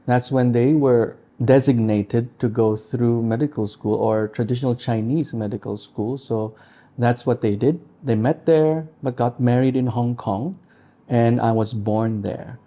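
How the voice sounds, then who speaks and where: A man in his forties from the Philippines, a doctor answering interview questions in a measured, explanatory way. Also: medium pitch, mellow. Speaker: integrative medicine doctor.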